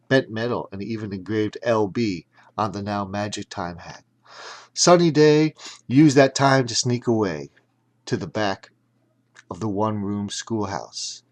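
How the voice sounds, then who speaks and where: A man narrating a children's audiobook dramatically, in deliberate, emphatic, broken phrases. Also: lowish voice.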